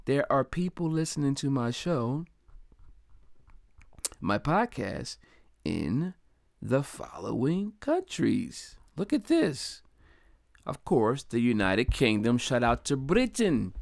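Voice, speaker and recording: A man from Delaware hosting his own podcast, talking in a casual, upbeat, chatty way and giving slangy shout-outs to listeners. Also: mid-range voice, big pitch swings, takes it slowly, vocal fry, long pauses, no room sound, studio quality. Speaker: podcast host. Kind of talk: casual solo podcast monologue.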